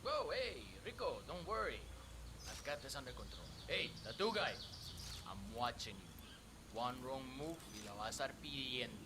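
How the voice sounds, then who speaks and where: A jumpy, threatening bodyguard, lively swings. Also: male voice, medium pitch, normal speed, background noise. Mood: menacing, agitated. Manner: agitated, threatening, slangy. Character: jumpy, overzealous male bodyguard for a crime boss. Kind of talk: video game acting.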